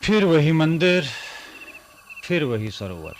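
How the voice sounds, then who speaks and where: A man's voice: a little high, very animated, slow, noisy background, heavily acted. An actor in a radio play playing a lonely, brooding man who muses theatrically and hesitates, repeating phrases. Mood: brooding, regretful. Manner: theatrical musing, hesitant repetitions. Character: lonely brooding man, wistful, curious, easily smitten. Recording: music under the voice.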